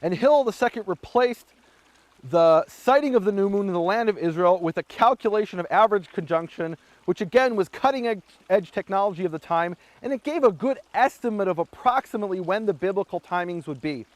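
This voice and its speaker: A man teaching on scripture and history in a storytelling style, raising his voice to act out what the people in his story said. His voice sits fairly high, and the sound is close and dry with no room echo. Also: brisk. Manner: animated storyteller acting out shouted quotes. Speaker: Bible teacher.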